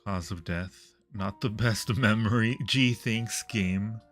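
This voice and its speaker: A man's voice: lowish voice, slow pace, fairly bright tone. A man hosting a livestream, chatting casually with viewers while playing a game. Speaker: livestream host. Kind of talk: casual livestream gaming chat.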